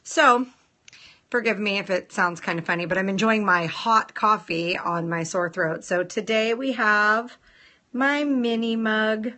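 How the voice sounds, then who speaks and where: A woman hosting a casual live stream, chatting playfully with viewers and greeting them in a sing-song lilt, her voice a little rough from a sore throat. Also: medium pitch, measured pace, bright, forceful tone. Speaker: nail salon owner hosting a live stream.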